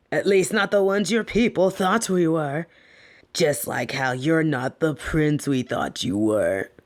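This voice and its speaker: A woman voicing a soft but dominant lamia captor in an intimate audio roleplay, breathy and often whispering, condescending and possessive. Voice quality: breathy, often dropping into whispers and creaky low tones. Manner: slow, teasing, patronizing.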